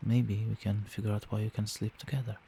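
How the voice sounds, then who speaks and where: A man playing a doting, protective vampire boyfriend in a soft-spoken, soothing and attentive ASMR roleplay. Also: on the low side, level tone, a little fast, creaky, slight background noise. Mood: tender, concerned. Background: rain.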